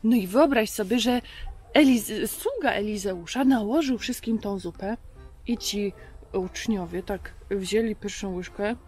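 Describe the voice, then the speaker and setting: A woman in her thirties hosting a children's Bible show, telling stories in simple, conversational words with pauses. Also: mid-range voice, darkish tone, husky, soft background music. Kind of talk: storytelling to a puppet for children. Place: outdoors in a garden.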